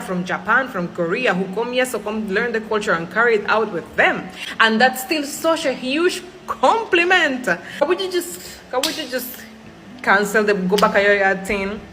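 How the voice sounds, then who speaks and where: A woman's voice: on the high side, fairly bright tone, slight background noise, poor audio. A Cuban woman in her forties whose first language is Spanish, speaking English with a Jamaican and Spanish-mixed accent in an earnest, pleading personal monologue. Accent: Cuban Spanish mixed with Jamaican Patois. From Cuba. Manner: earnest, pleading, repeats phrases for emphasis.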